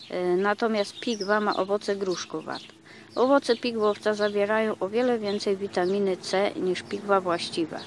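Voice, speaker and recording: A woman giving a casual explanatory talk for her gardening vlog, pausing often with filler sounds, recorded outdoors on a camera mic. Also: medium pitch, slight background noise. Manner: hesitant, many filler sounds. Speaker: gardening vlogger.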